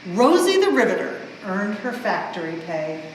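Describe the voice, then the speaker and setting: Echoey woman reading rhyming storytime aloud. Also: mid-range voice. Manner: expressive, measured rhyming delivery. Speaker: museum staff member reading a children's book aloud. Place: indoors in a museum exhibit space. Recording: very echoey reverberant hall, faint background noise.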